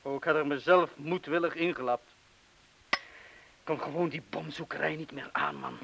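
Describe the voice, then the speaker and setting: A man's voice: a little high, moderate pace, vocal fry, a bit bright, breathy edge, full character voice. An actor playing a self-doubting wartime bomb disposal man, confessing his cowardice in a colloquial, bitterly self-deprecating way, as in a radio play. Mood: bitter confession. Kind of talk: Dutch radio play acting. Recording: hissy old radio play recording.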